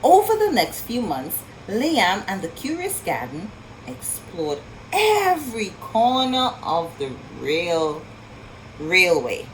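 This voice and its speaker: A woman reading a picture book aloud to children in an expressive storytelling style, with marvelling asides and questions to her young listeners. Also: mid-range voice, slow pace, a little hiss or hum, rough recording.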